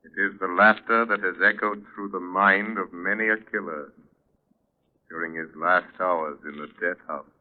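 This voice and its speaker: Guy doing a menacing invisible crime fighter, low ominous voice, muffled old radio. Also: steady tone, moderate pace, natural voice. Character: invisible avenging crime fighter, menacing and all-knowing man. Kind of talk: 1930s-40s radio acting. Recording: muffled, narrow-band old radio recording.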